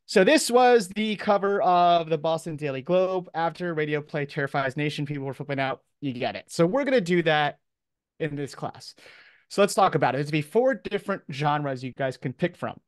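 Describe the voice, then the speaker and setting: An American man with a somewhat high voice teaches an online class as a professor. He explains things in casual, everyday language. The sound is dry, with very little room in it. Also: animated, normal speed, dry recording. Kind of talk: informal online lecture. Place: video call, close dry sound.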